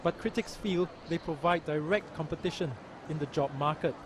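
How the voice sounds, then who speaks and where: A man reading a documentary news report in a measured, even voice. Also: a little high, background noise. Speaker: news narrator. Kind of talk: scripted documentary narration. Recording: voice-over with music behind.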